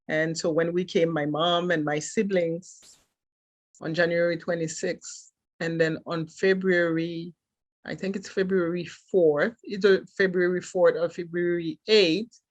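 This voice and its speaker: A middle-aged woman from Haiti whose first language is French, telling her life story as a podcast guest in a thoughtful way, with soft laughs between thoughts. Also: fairly low pitch. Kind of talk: reflective personal storytelling.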